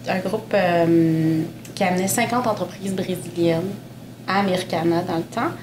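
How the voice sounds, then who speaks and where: A woman's voice: lowish voice, normal speed. A woman in her forties, a Portuguese native speaker, telling her career story casually with many fillers on a panel. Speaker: panel guest, mining executive. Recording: echoey room with steady noise.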